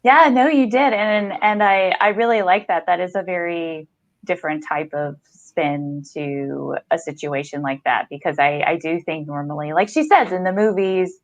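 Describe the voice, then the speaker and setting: A woman's voice: on the low side, moderate pace, no echo. A woman hosting a podcast, interviewing an author in a reflective, affirming, conversational way. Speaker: podcast host.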